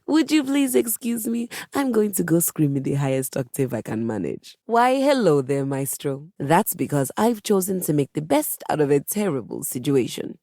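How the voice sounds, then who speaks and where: Game role: a proud diva of a piano, lowish mellow voice, refined and theatrical, recorded close and dry. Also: female voice, dry recording. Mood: indignant, dismayed, then composed, proud. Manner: refined, precise, dramatic diction with disdainful formality. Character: haughty, theatrical female grand piano with wounded diva pride. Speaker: video game voice actor. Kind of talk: character dialogue for a dating simulator game.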